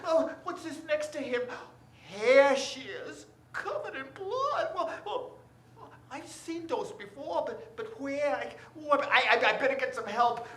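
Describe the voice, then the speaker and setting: Woman acting a high, flustered store worker in radio drama. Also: sing-song, a little slow, fairly bright tone, full character voice. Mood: shocked, panicky. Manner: stammering, gasping, exclaiming aloud. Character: flustered, nosy department store employee, nervous and talkative. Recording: roomy stage sound with clear noise.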